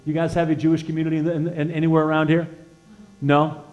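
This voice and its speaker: An American man in his sixties giving an informal sermon with personal testimony as a guest preacher in a church, conversational and halting, repeating words as he feels his way and putting questions to his listeners. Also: on the high side, completely flat delivery, dull audio. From northeastern United States. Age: 60s. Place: church sanctuary, indoors, at a lectern.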